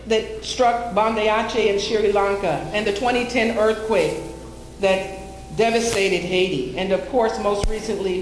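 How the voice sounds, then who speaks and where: A woman speaking as master of ceremonies at a memorial tribute, in an earnest, formal public-speaking manner with halting pauses. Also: medium pitch. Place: large packed hall at a live event. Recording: echoey, reverberant hall, clearly noisy.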